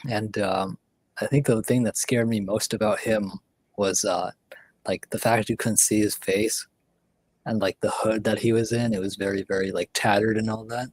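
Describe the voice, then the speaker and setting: Low-voiced man, rambling casual chat. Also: steady tone, normal speed, rough recording. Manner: rambling, filler-heavy, drops to near whispers. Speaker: livestream host.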